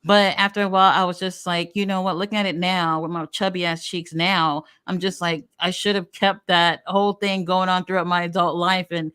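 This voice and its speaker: A woman's voice: on the low side, little pitch movement, a little fast, hardly any pauses, a bit bright, clear, resonant tone. A woman in her thirties, born in America with Haitian Creole as her first language, chatting candidly as a show host. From United States. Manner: candid, chatty storytelling with frequent fillers. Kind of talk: casual chat.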